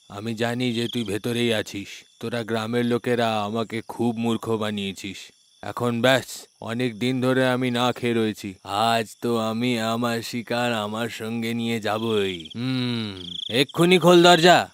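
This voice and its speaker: A man's voice: mid-range voice, fairly even intonation, unhurried, fairly bright tone, slight background noise, natural voice. A man voicing a hungry, foolish, menacing demon in a cartoon folk tale. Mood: menacing, demanding. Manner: threatening, demanding. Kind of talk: children's moral-story cartoon acting.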